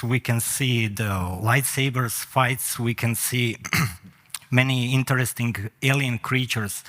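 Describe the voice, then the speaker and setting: Middle-aged croatian guy giving a tech talk on stage, lots of uh's, average pitch. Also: a bit bright. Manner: hesitant, frequent "uh" fillers, non-native phrasing. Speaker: conference speaker. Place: conference hall.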